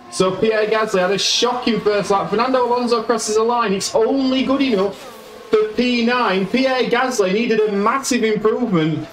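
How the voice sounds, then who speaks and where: A man's voice: sits high, echoey room, distant mic. A man with a Yorkshire accent, a gaming YouTuber giving chatty play-by-play of a racing management game. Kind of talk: game commentary.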